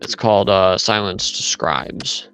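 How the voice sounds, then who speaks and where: A man's voice: low-pitched, measured pace, fairly bright tone, clean tone, soft background music, rough recording. A man in casual chat, rambling as he retells events with lots of asides.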